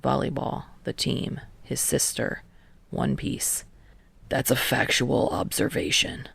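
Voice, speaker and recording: A sardonic young man played by a woman narrator in an audiobook story, muttering low with heavy creak. He is terse and halting, grudging every word in a breathy deadpan. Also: no room sound, full character voice. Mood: halting, reluctant. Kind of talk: fan fiction audiobook dialogue.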